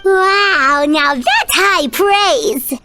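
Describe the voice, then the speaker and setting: A woman's voice: lively intonation, extremely slow, music in the background, full character voice. A woman voicing a tiny, childlike, eager and gullible fairy companion in an anime-style video game. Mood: eager, pleading. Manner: squeaky, childlike.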